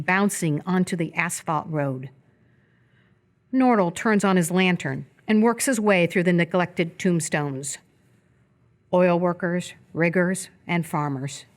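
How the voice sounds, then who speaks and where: A woman narrating scripted stage action for a live radio theatre piece. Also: lowish voice.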